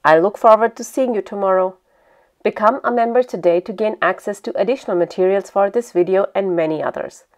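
A woman hosting a language lesson, reading a scripted narration in a clear, steady way. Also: medium pitch, brisk. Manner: clear, steady instructional delivery.

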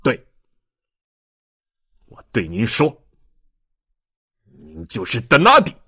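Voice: mid-range voice, wildly swooping pitch, sharp tone, husky, pitched below the performer's own voice, exaggerated voice. An audiobook narrator voicing a stern, contemptuous young baron with a commanding manner. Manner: commanding, deliberate.

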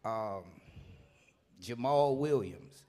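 A man, a vocalist telling his story in a relaxed, plainspoken way at an onstage interview. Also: medium pitch, extremely slow. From New Orleans. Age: 50s.

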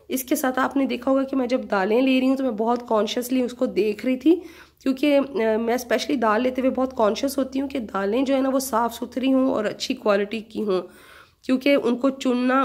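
A woman's voice: a little high, a little mellow, roomy sound. A middle-aged woman chatting through a shopping vlog, explaining prices step by step. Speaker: vlogger. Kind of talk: casual shopping vlog chat. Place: home room with shelves, indoors.

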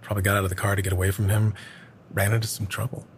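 An actor playing an earnest older small-town man in an audio drama, hesitantly recalling a dark local legend. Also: lowish voice, flat delivery, close to an everyday voice. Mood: grave, defensive. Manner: hesitant, halting storytelling. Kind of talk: naturalistic audio drama acting. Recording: clear background ambience noise.

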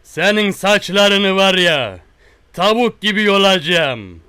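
A man doing a slow, menacing rustic thug. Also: high-pitched, lively intonation, sharp tone, smooth, dry recording, exaggerated voice. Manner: slow drawn-out threats, repetitive. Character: menacing rustic male tough guy, bullying and boastful. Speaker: prank caller. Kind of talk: one-sided prank call.

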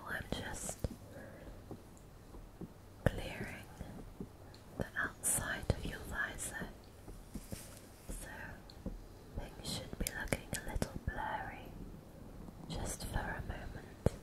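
A young woman playing a gentle, reassuring female alien who repairs a patient, in a soft, breathy ASMR roleplay whisper. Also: close to an everyday voice, rough recording. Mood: soothing. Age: young adult. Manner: soft, soothing, unhurried.

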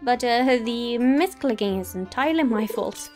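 A woman's voice: fairly high pitch, expressive, normal speed. A woman giving casual, polite gameplay commentary as a let's play host, chatting to herself and the game. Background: game music.

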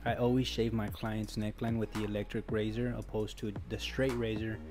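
A man, a barber, narrating a haircut tutorial step by step in a steady instructive voice-over. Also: on the low side, moderate pace. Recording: voice-over with loud background music.

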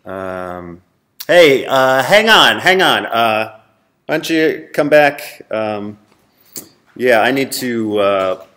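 A man's voice: mid-range voice, expressive, slow pace, bright, forceful tone. A man in his thirties playing a pompous, irritable, easily flustered startup boss in a comedy, speaking in an office. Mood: sheepish. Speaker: actor as startup chief executive. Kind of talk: scripted mockumentary comedy, livestream address. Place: open-plan office, indoors.

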